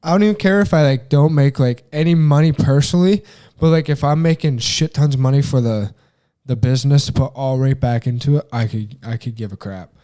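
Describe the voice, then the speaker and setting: Young male co-host, warm low-brightness voice, rambling casual chat. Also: a little fast. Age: young adult. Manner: earnest, rambling, heavy use of "like" and "dude". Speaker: podcast co-host.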